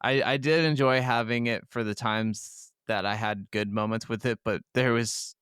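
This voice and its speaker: A man's voice: mid-range voice, fairly even intonation, normal speed, fairly bright tone, clear, resonant tone, no room sound. A young man with a mid-range, very clean voice, recorded close and dry. He chats casually as a gaming podcast co-host, readily agreeing and keeping things conversational.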